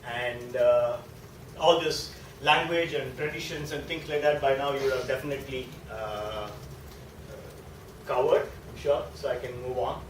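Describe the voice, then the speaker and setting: A man's voice: medium pitch, measured pace. A man from southern India with a South Indian accent, a native speaker of a South Indian language, giving an informal, explanatory guest lecture and often checking in with his listeners. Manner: conversational and explanatory, often checking in with a tag question. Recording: echoey room, distant mic, faint noise.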